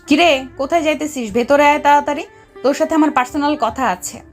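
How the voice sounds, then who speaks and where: A woman's voice: high voice, quick pace, pitched above the performer's own voice, lightly acted. A woman voicing an angry, domineering young female boss in an audio story, scolding and giving orders. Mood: menacing. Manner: scolding, commanding. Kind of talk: Bengali audio story character dialogue. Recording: music under the voice.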